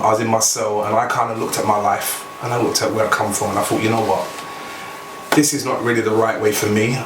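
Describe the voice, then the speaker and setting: A man, a gospel singer telling his story on a podcast in a preachy, rhyming way. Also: fairly low pitch. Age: 40s. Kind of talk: casual storytelling. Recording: echoey room, mic far off, noisy.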